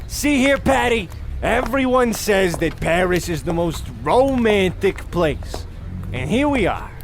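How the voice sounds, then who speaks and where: High-pitched pompous husband, acted by a man. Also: big pitch swings, slow, vocal fry, a little hiss or hum, full character voice. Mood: insistent, grandiose. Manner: pompous, theatrical, blustering. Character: pompous, oblivious, bickering American husband on holiday. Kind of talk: comedic radio play acting.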